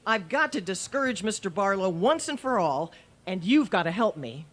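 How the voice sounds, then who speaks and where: A woman acts out a wry, quick-witted schoolteacher in an old-time radio comedy. Her voice sits at an ordinary pitch, with dry and arch wisecracks. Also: big pitch swings, a bit bright. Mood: wry, pleading. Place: live stage performance at a radio history event.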